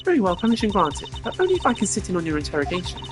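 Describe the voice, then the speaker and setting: Streamer as imperious female game investigator, raised pitch, theatrical, sharp-tongued, commanding, music behind. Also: wildly swooping pitch, very fast. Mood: smug, formal.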